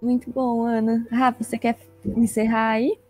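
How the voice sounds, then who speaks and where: A woman's voice: a little high, level tone, normal speed, darkish tone, faint music behind, low-quality recording. A woman from Brazil with a Brazilian Portuguese accent, co-hosting a podcast with playful, joking remarks. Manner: playful, jokey. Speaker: podcast co-host. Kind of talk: casual podcast banter.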